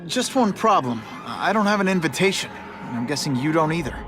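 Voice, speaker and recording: An actor playing a wisecracking, earnest young superhero in a video game, talking in a casual, quippy way. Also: male voice, a little high, expressive, brisk, background noise, lightly acted. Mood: earnest, concerned. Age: young adult. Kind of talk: video game cutscene acting. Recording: music behind the voice.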